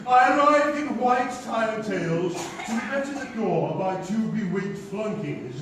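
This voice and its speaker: A man's voice: sits high, very animated, unhurried. A man playing a flamboyant, self-adoring English playwright in a stage comedy, gushing and grandiose. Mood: gushing, grand. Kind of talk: theatrical stage comedy acting. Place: theatre stage before a live audience. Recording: echoey theatre recording from far off, faint noise.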